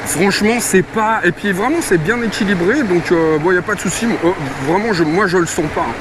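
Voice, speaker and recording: A man reviews gear casually. He talks colloquially and hesitantly, thinking aloud as he goes. Clear traffic and vehicle noise runs behind him. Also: high-pitched, fairly quick.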